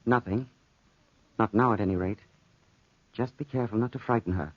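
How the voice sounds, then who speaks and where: An actor playing a cruel, teasing soldier in a theatrical 1940s radio drama style. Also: male voice, fairly low pitch, moderate pace, dry recording, close to an everyday voice. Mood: fascinated, amused. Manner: taunting, theatrical. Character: stranded soldier, cruel and teasing, fascinated by a panther. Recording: muffled vintage 1940s radio recording.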